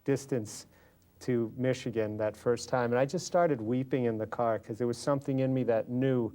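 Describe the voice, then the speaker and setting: A man's voice: medium pitch, moderate pace, warm tone. An American man telling his personal faith story as a guest in an interview. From Los Angeles, California, USA. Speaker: interview guest, lay businessman.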